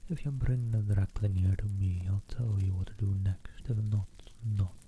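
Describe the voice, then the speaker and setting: A man voicing a lazy, offhand male cockatrice in a storybook reading, soft and hushed, on a tinny line with faint hiss. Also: fairly low pitch, fairly even intonation, moderate pace, close to an everyday voice. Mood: huffy.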